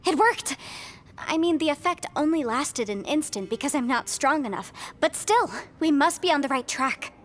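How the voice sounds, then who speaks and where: A woman's voice: high-pitched, expressive, moderate pace. A woman playing an earnest, determined young half-immortal heroine in a video game, explaining and encouraging. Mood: excited. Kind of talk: video game story acting.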